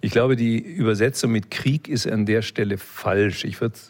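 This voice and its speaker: A man's voice: fairly low pitch, fairly even intonation, normal speed. A man answering interview questions in a reflective, lecture-like way, explaining like a professor and adding small check-in tags. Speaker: interview guest, philosophy expert.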